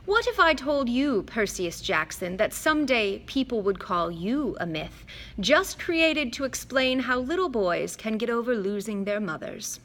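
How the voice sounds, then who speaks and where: A woman's voice: on the high side, big pitch swings, unhurried, fairly bright tone. A woman voicing a grave, patient centaur teacher. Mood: probing.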